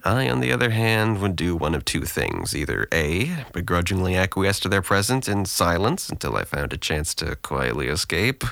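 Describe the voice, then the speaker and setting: Audio drama actor for a wry, weary young man in therapy. Deep, creaky voice, dry close sound. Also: a bit bright, no echo, full character voice. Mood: sardonic, exasperated. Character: depressed young man in therapy, guarded, wry, self-deprecating.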